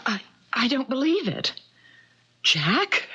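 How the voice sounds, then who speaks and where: A woman's voice: high-pitched, big pitch swings, slow pace, pushed and bright, husky, heavily acted. An actress playing a timid, trusting middle-aged wife in a 1970s radio mystery, genteel and wavering in her delivery. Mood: hurt, timid. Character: timid, trusting older wife, shocked and betrayed. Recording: slightly dull vintage radio recording.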